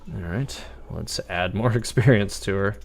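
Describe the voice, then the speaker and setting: A man's voice: fairly low pitch, unhurried, creaky, a little mellow. A man giving casual, rambling live gameplay commentary, thinking aloud as he streams. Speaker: game livestreamer.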